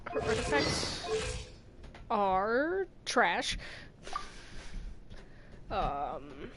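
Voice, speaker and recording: A woman's voice: medium pitch, big pitch swings, extremely slow, a bit bright. A woman doing casual gameplay commentary, rambling and thinking aloud in a playful, exclaiming way. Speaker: game streamer. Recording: game music behind the voice. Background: video game music.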